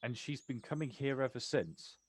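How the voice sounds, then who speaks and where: A man's voice: mid-range voice, moderate pace, slightly rough, no echo, natural voice. An actor plays a wry, kind but guarded male poet in an intimate stage drama. His mid-range voice is questioning and hesitant, stumbling over first words when unsure. The sound is dry, with little room. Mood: wry, curious. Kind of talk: stage play acting, quiet two-hander.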